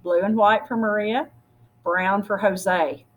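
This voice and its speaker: A woman with a slightly husky voice reads a picture book aloud, speaking directly to her listeners as a storyteller. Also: mid-range voice, fairly even intonation, slow, poor audio. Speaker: story reader.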